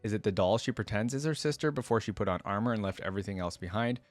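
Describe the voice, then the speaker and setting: A man's voice: on the low side, lively intonation, quick pace, no echo, faint music behind. A young man hosting a reaction video, reading story text aloud and commenting in an informal, musing way.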